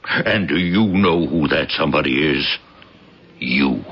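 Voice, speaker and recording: Blustering, preachy boss in old radio drama; husky gravel, animated swings. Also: male voice, extremely low pitch, a little slow, heavily acted. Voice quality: gravelly, rough. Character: domineering, stingy factory boss, pompous and self-satisfied. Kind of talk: early 1980s radio drama acting. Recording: dull old radio broadcast.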